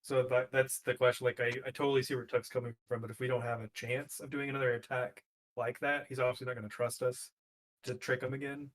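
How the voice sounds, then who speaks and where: A man's voice: medium pitch, flat delivery, quick pace, fairly bright tone. A man playing a tabletop game, talking strategy casually and repeating phrases as he reasons.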